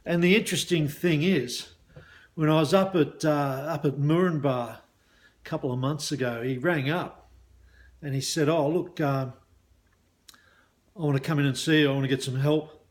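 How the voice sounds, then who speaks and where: A man's voice: fairly high pitch, moderate pace, long pauses. Matey Australian man chatting casually to viewers. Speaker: business mindset coach and hypnosis practitioner.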